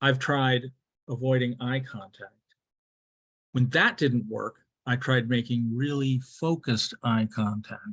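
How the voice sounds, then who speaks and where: Male contest speaker, mid-pitched. Mock-serious, theatrical comic storytelling with deliberate emphasis. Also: fairly bright tone. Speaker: club speech contestant. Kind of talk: humorous storytelling speech, rehearsed.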